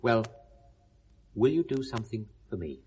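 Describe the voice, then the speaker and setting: An actor playing a genteel, pious and concerned British confidant in a 1970s radio drama, speaking with gentle firmness. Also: male voice, medium pitch, sing-song, normal speed, breathy edge, lo-fi sound. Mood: earnest, advising.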